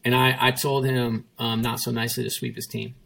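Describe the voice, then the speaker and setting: A man's voice: mid-range voice, level tone, fairly quick, gravelly, a bit bright, rough recording. A man, a former chief executive, telling a story in a casual interview, emphatic and given to repeating his points and quoting others. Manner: emphatic, repetitive, quotes himself and others. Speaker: former CEO, guest.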